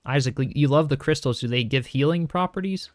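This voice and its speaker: A young man streaming a building game, talking calmly and softly while reading chat aloud, with frequent small chuckles. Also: mid-range voice, a little fast, dry recording, clean studio sound. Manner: soft-spoken, calm, chuckles often, reads chat aloud. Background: faint game music.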